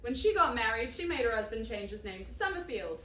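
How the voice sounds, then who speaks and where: Woman acting a high-voiced, wry young-sounding woman, roomy. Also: very animated, fairly quick, fairly bright tone. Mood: wry narration. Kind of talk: audio drama acting, narration and dialogue. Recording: roomy, distant, faint noise.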